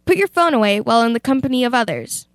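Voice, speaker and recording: A woman's voice: a little high, very animated, quick pace, no room sound. A teenage girl presenting a youth radio show, moving between casual chat and scripted reading.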